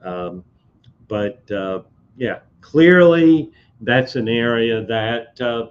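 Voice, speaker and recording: A man talking slowly and haltingly, with many fillers, answering viewer comments on a live webcast, his voice slightly hoarse. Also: mid-range voice, highs cut off, slight background noise. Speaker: code expert on a live industry webcast.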